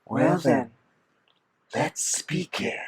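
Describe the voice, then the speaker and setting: A man voicing a taunting, smugly menacing mirror creature in an audio drama, mocking and deliberate. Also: slight background noise.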